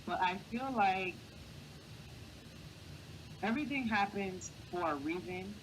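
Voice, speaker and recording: A man with a light, boyish voice, speaking earnestly as a guest in a casual interview and giving encouraging advice. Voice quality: high, boyish voice. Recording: clear background noise.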